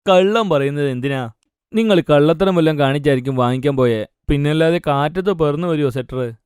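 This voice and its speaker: A man acts out a stern, old-fashioned father in a cartoon story. He scolds in a domineering, angry way, talking quickly in a slightly high male voice. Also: a little mellow, smooth, no echo, clean studio sound, close to an everyday voice. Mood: suspicious, indignant. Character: stern, angry traditional father of a village household. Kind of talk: dramatised cartoon story dialogue.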